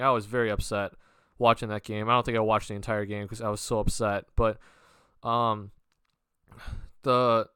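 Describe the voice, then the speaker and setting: A man's voice: mid-range voice, bright, forceful tone, smooth, dry recording, clean studio sound. A young man with a cold and a worn voice, casually talking basketball on his own channel, rambling with many fillers and restarts. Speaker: basketball vlogger. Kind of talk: casual sports talk to camera.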